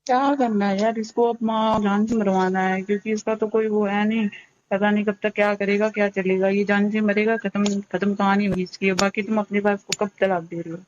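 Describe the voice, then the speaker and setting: Flat-toned woman on a phone call. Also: medium pitch, a little fast, a little hiss or hum. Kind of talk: casual phone conversation. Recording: dull lo-fi phone call recording.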